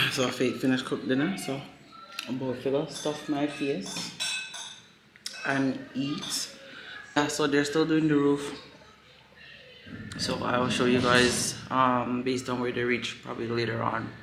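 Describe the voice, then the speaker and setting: Hoarse Jamaican man vlogging slowly. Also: mid-range voice, little pitch movement. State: losing his voice, hoarse. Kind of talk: casual vlog talk to camera. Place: family home in the countryside. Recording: echoey room with background noise.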